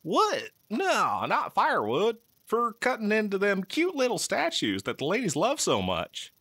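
A man doing an eager high-voiced frontier townsman. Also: huge pitch swings, a little slow, a bit bright, slightly rough, exaggerated voice. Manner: high, eager, twangy folksy talk.

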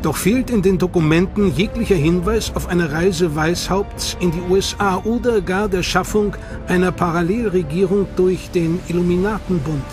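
A man narrating a documentary as a scripted, measured, dramatic storyteller. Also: high-pitched. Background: music.

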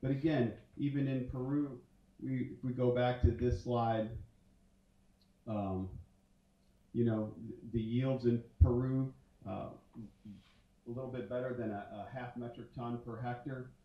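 A man's voice: medium pitch, fairly even intonation, unhurried, long pauses. A man in his forties giving a conference talk on stage with a handheld mic, conversational and full of fillers and restarts. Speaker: conference speaker. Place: large dark auditorium stage. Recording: roomy hall sound from a distance, muffled.

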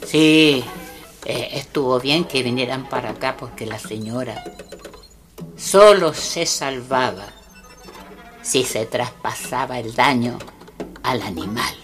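An actress playing a solemn, urgent Mapuche shaman healer in a radio drama, halting and commanding, repeating her words. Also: very deep voice. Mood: grave, explaining. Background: music.

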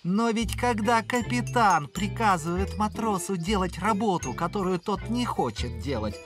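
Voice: medium pitch, lively intonation, normal speed, over music, close to an everyday voice. An actor voicing a young, inquisitive and persistent pirate schoolboy in a cartoon, with an earnest, questioning manner. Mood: earnest, insistent. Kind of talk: cartoon voice acting.

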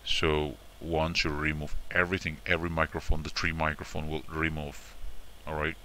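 A man with a very low, fairly monotone voice walks through a software tutorial step by step. He repeats his instructions and checks in often. The sound is sharp, with faint background noise. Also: normal speed. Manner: repetitive, instructional, often checking with "all right" and "okay". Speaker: tutorial presenter. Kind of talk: informal screen-recorded software tutorial.

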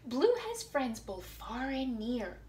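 A woman with a lively, fairly high voice presents a picture-book storytime for young children. She speaks deliberately and expressively, recorded in a room at home with some faint background noise. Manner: animated, deliberate, talking to small children. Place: small indoor room at home.

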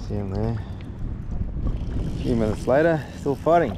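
A man's voice: mid-range voice, expressive, normal speed. An Australian man giving casual fishing commentary outdoors on a small boat. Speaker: fishing charter guide. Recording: clear boat, water and outdoor noise. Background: water and boat sounds.

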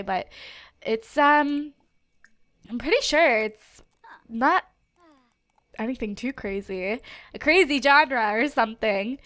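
A young woman with a noticeably high, bright-toned voice hosts a casual let's play. Her nose is stuffed up, and she chats bubbly, with frequent giggles and quick asides. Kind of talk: casual gameplay commentary.

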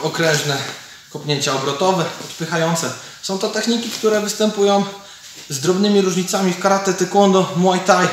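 Echoey, higher-voiced man teaching. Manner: informal, explanatory, demonstrating while moving. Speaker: martial arts instructor. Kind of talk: technique demonstration, explaining to camera. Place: large indoor MMA cage gym. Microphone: camera several metres away. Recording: echoey gym, distant mic, some noise.

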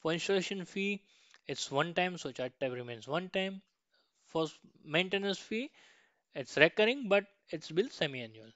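A man presents an instructional slide tutorial in a fairly high, smooth voice. He explains point by point and often adds little checking tags. The sound is close and dry, though slightly dull. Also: lively intonation, moderate pace, fairly bright tone, dry recording. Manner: instructional, frequent checking tags like "right?" and "okay".

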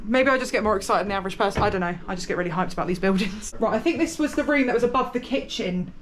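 A young British woman hosting an exploring vlog inside an abandoned house, chatting excitedly and exclaiming often. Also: mid-range voice, rapid-fire, over music. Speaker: urban explorer host. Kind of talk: casual exploring vlog chat.

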